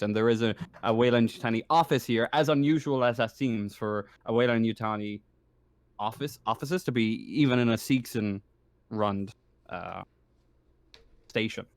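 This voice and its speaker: A man with a low, smooth voice runs a tabletop roleplaying game as game master. He improvises his narration haltingly, often rewording as he goes, in a dry, close recording. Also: no echo. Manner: halting, often rephrasing himself.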